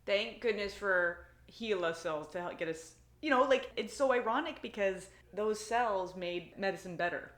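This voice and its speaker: A woman with a mid-range voice talks in a relaxed book club conversation. She thinks aloud as she goes, chatty and loose. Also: medium pitch, normal speed, few pauses. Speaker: book club podcast co-host. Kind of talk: casual chat.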